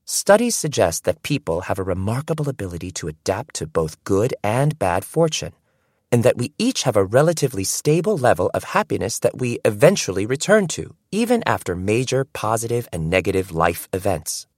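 A man reading a first-person audiobook in an expressive, storytelling way. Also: fairly low pitch, fairly quick, no room sound, clean studio sound. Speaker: audiobook narrator.

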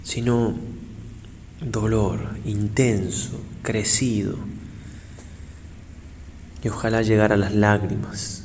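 Male priest for guided meditation: low, even delivery, reverent and unhurried, long pauses, faint noise behind. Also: in a large hall. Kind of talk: guided prayer meditation, slow and solemn.

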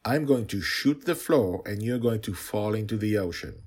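A man voicing a bold, excitable five-year-old girl adventurer in an audio story, eager and exclamatory. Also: fairly low pitch, normal speed, husky, pitched above the performer's own voice. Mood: confident, bossy.

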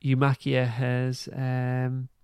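Guy on a podcast doing a casual recap, bit of vocal fry, halting and informal, very dry sound. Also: medium pitch, completely flat delivery, darkish tone, dry recording. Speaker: podcast host. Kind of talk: casual plot recap and review.

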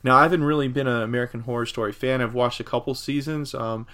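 A man co-hosting a casual podcast discussion about entertainment, talking in a halting, rambling way. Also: medium pitch, steady tone, bright, forceful tone. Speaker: podcast co-host.

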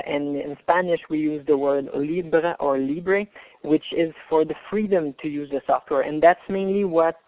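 A man's voice: on the high side, dry recording. Man on a tinny phone line. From Canada. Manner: halting, hesitant delivery. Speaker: presenter. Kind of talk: webinar presentation.

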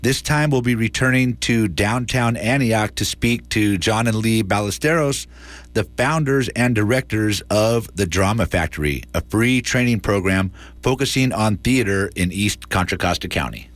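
A man's voice: on the low side, normal speed. A man with an American accent presenting community radio in a casual, conversational way as host and interviewer.

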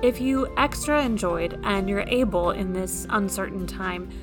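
Woman, podcast announcer, mid-range pitch, scripted outro read, music playing clearly behind. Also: measured pace.

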